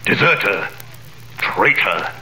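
An actor playing a vengeful nobleman in a 1930s radio drama, with theatrical, commanding delivery that swings from a cold hush to thundering denunciation. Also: fairly low pitch, sing-song, takes it slowly, heavily acted. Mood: accusing. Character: vengeful nobleman, a wronged man returned in triumph. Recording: old radio recording in a roomy space.

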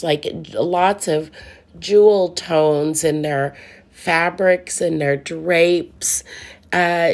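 A middle-aged woman talks through her painting work at a slow, easy pace. Her voice sits a little low, and she pauses often with ums and restarts her thoughts. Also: a little mellow. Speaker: furniture painter. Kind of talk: relaxed voice-over narration.

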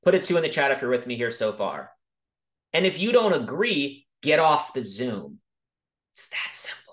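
A man casually teaching about markets over a video call, talking quickly and emphatically and often checking in with "okay?". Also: medium pitch, sing-song, a bit bright. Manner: fast, emphatic, frequent "okay?" check-ins. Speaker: crypto educator hosting a Zoom call. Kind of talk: casual screen-share teaching.

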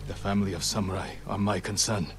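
Man as a deep-voiced, solemn young samurai. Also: fairly even intonation, quick pace, faint music behind, lightly acted. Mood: solemn, determined. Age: young adult. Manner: solemn, firm delivery. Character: earnest, determined young samurai, last of his clan. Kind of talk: dramatic video game acting.

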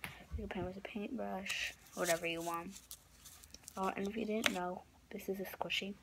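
A young woman with a raspy, often whispery voice, rambling through a casual craft tutorial with lots of fillers and restarts, filmed close up on a phone camera in her bedroom. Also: medium pitch, fairly even intonation, moderate pace. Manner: rambling, filler-heavy, self-correcting. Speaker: craft vlogger. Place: small bedroom indoors. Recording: noisy home recording.

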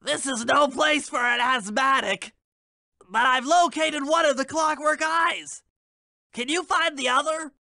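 A very high, bright, nasal voice for a male turtle in a video game. He is a bookish, anxious tech whiz with a fussy way of talking. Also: unhurried, no echo, studio quality, full character voice.

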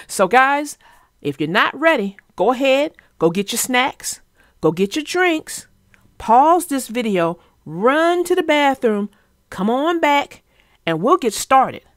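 A woman narrating a storybook for children in an animated, expressive way. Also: sits high, no room sound, clean studio sound. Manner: expressive, addressing listeners directly. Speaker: children's read-aloud narrator.

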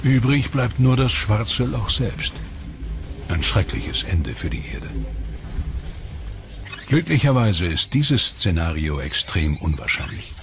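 Man, very low voice, documentary voice-over, measured dramatic narration, music underneath. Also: sing-song, gravelly.

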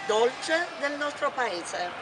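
Older Italian woman, slow instructions. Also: sits high, lively intonation, noisy background. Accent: Abruzzo Italian. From Italy. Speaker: home cook. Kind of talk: cooking demonstration. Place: home kitchen, indoors. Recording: echoey kitchen, distant mic.

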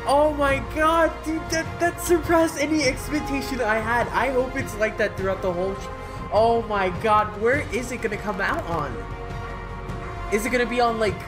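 A man's voice: very high voice, normal speed, over music. A man in his thirties hosting a casual live reaction, rambling and exclaiming in slang, recorded in a room at home. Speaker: reaction video host. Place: home room with kitchen, indoors.

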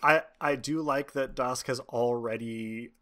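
A man's voice: mid-range voice, fairly even intonation, a little slow, sharp tone. A man running a tabletop game as game master, narrating and chatting casually.

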